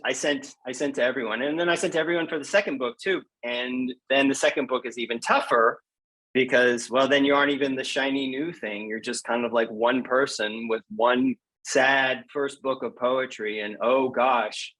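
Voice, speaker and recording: A man's voice: medium pitch, rough recording. Candid, self-deprecating man in conversation. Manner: self-deprecating, animated retelling with quoted asides. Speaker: guest poet. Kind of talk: candid conversational interview answers.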